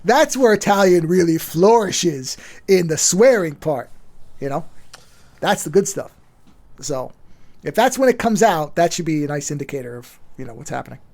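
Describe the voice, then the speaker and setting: A man's voice: a little high, big pitch swings, normal speed, creaky, darkish tone. A man with a New York accent, co-hosting a podcast in casual chat, blunt and swearing freely. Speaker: podcast co-host.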